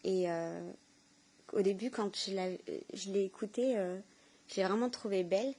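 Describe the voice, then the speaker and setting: Mellow-voiced woman, halting personal testimony. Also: mid-range voice, poor audio. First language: French. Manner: halting, searching for words, earnest. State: emotional, tearful with joy.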